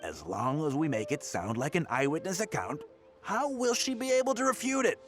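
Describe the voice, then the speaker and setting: Unscrupulous male newspaper boss for a game: higher-set voice, scornful, condescending, very animated. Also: normal speed, soft background music. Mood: gloating, scheming. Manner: condescending, hectoring, slangy. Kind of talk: video game voice acting.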